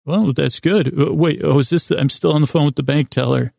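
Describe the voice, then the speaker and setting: A man hosting a bedtime podcast in a gravelly, creaky voice, with a goofy, meandering, halting monologue that keeps interrupting itself. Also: fairly high pitch, dark tone, dry recording, clean studio sound. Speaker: podcast host.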